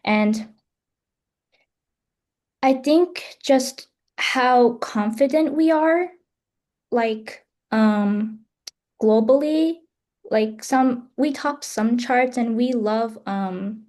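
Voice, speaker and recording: A young woman from the United States answers panel questions over a video call. Her voice sits a little high, and she talks in hesitant bursts full of fillers and restarts. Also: extremely slow, long pauses, darkish tone. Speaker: student presenter. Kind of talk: online panel Q&A.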